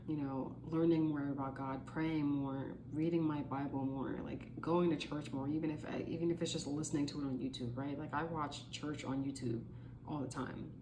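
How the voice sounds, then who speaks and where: A woman's voice: low voice, noisy background. A woman in her late twenties giving casual motivational advice to camera, earnest and chatty, with lots of "like" and "you know". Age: 20s. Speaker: vlogger.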